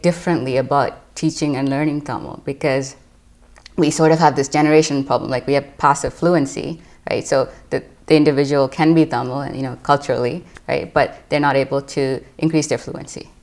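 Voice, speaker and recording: A young woman with a notably low, gravelly voice speaks as a panel guest. She makes her case earnestly and checks in with "right?" after nearly every point. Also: steady tone, brisk, a little mellow. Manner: earnest, keeps adding "right?". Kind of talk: panel discussion.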